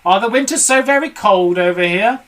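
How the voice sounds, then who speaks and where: A woman reading aloud and voicing an eager, excitable father monkey. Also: medium pitch, brisk, pitched above the performer's own voice, lightly acted.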